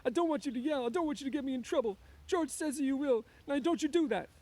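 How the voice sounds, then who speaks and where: A man voicing a big, childlike, easily frightened man from a storybook, strained and pleading, with simple, halting repetition. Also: mellow. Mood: angry panic. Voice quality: strained, high-pitched.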